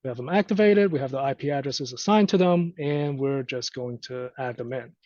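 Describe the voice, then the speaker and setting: A man working as a technical trainer gives an unscripted, step-by-step software walkthrough, informally thinking aloud as he goes. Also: medium pitch, a little fast, dry recording. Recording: dry, dull lo-fi audio.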